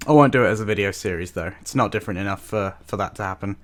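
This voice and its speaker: A man's voice: fairly low pitch, racing. A man hosting a reaction video with casual, amused, rambling off-the-cuff commentary.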